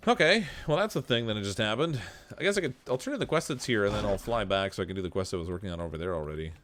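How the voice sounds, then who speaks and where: A man's voice: on the low side, animated, very fast, fairly bright tone, slight background noise. A man streaming a game live, chatting casually, laughing often and answering his viewers as he plays. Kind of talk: casual live gameplay commentary.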